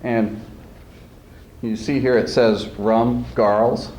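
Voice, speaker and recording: A man's voice: medium pitch, little pitch movement, takes it slowly, darkish tone. A man hosting a showcase as speech coach, rambling and jokey in his informal introductions. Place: school event before an audience. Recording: echoey hall with background noise.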